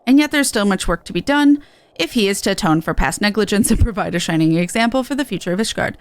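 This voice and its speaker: A woman's voice: mid-range voice, animated, fast, dry recording. A woman streaming a game, giving casual, offhand commentary and reading game text aloud. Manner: informal, offhand.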